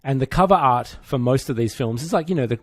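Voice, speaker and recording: A man's voice: medium pitch, expressive, fast, no room sound. A man co-hosting a podcast, chatting casually about pop culture with dry humour and informal, sweary phrasing. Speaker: podcast co-host.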